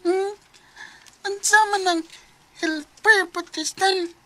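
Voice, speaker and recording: A woman's voice: very high voice, steady tone, slow, bright, forceful tone, full character voice. A woman voicing a curious, innocent little schoolboy in a Filipino radio drama, with a Cebuano accent and an inquisitive, childlike manner.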